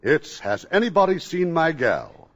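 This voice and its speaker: Genial 1950s radio host, lively pitch. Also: male voice, a little slow. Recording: old radio broadcast with faint music.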